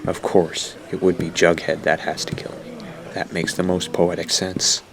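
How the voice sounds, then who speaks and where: A man acts as a bitter young survivor telling his own grim story in a radio drama. His voice is very low and barely varies, brooding and resigned, with some ambient noise behind it. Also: flat delivery, moderate pace. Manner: brooding, self-loathing, low-key. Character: bitter, guilt-ridden young man narrating a zombie apocalypse. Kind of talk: first-person radio drama narration.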